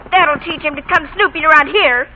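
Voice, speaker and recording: A woman's voice: sits high, brisk, bright, forceful tone, a little hiss or hum. A young female performer playing a spunky, devoted little girl in a vintage radio drama. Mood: defiant, spunky. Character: spunky, defiant little Southern girl, devoted to her father. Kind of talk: 1930s-40s radio drama acting. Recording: tinny, band-limited old radio broadcast.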